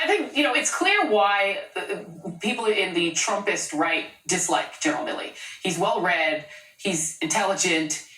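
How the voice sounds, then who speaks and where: A woman's voice: low voice, a bit bright. A woman anchoring a cable news show, delivering pointed, sarcastic commentary. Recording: roomy sound from a distant mic.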